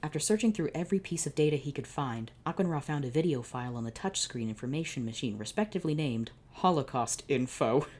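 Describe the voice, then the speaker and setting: Low-voiced woman reading with sarcastic asides. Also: lively intonation, fast talker, hardly any pauses, darkish tone, husky. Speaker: channel host. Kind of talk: reading fan fiction aloud with sarcastic commentary.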